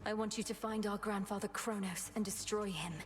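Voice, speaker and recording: A woman's voice: mid-range voice, fairly even intonation, brisk, close to an everyday voice. Woman acting a solemn young underworld witch princess. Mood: grave, determined. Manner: solemn, formal, incantatory. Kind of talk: video game voice acting.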